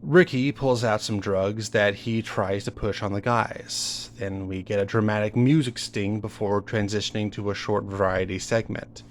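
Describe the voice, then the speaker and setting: Low-voiced man telling a horror story. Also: fairly even intonation, moderate pace, hardly any pauses, a little hiss or hum. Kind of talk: first-person horror story narration, conversational retelling. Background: music under the voice.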